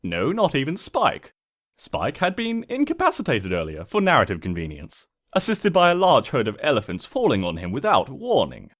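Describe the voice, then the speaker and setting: A man's voice: mid-range voice, huge pitch swings, normal speed, fairly bright tone. A man voicing a smug, mischievous trickster spirit who narrates a comic storybook in a pompous, sardonic, theatrical way.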